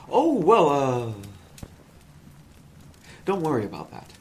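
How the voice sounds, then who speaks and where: A man's voice: fairly high pitch, wildly swooping pitch, slow, a little mellow, slight background noise, exaggerated voice. A man playing a nervous, evasive psychology professor who talks in a polished, wordy, lecturing way. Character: smooth, fussy male college professor, nervous and evasive.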